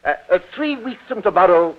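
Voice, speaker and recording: A man's voice: high voice, lively intonation, brisk. A man playing a domineering, stagey middle-aged father in an old radio drama, declaiming with precise diction. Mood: insistent. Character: domineering, theatrical middle-aged father training his actress daughter. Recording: tinny, band-limited old radio recording.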